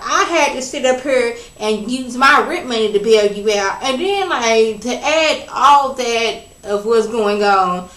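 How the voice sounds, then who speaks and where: A woman casually recapping a reality TV episode in a chatty, animated way, acting out what people said. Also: mid-range voice. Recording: roomy, distant from the mic.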